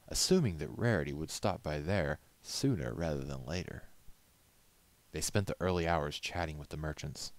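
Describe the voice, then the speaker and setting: A man reading a story aloud as a narrator, in scripted storybook style. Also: rumbling low.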